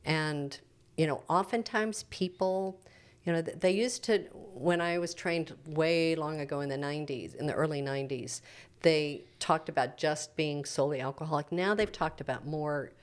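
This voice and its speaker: A woman's voice: low-pitched, normal speed. A middle-aged American woman explaining things in an unscripted conversation as a podcast guest. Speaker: podcast guest discussing addiction recovery.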